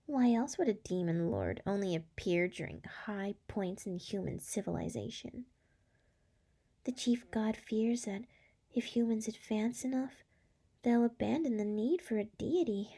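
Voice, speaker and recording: A woman voicing a seductive, tender demon lord in an intimate ASMR roleplay, speaking softly and coaxingly with a breathy, often whispering voice. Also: mid-range voice, expressive. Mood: coaxing, solemn. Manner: slow, soft, coaxing. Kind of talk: scripted ASMR roleplay, intimate address to listener.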